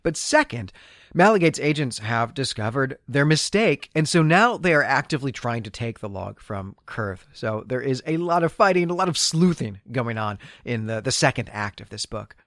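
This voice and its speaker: Animated male podcast host, dry close sound. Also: mid-range voice, moderate pace, dry recording. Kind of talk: casual explanatory book recap.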